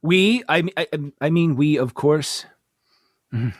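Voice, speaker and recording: An actor playing a self-important, easily flustered male starship captain in a comedic radio play, with a halting delivery. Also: fairly high pitch, no echo, clean studio sound. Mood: awkward, flustered. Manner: halting, stammering when awkward. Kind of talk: comedic radio theater acting.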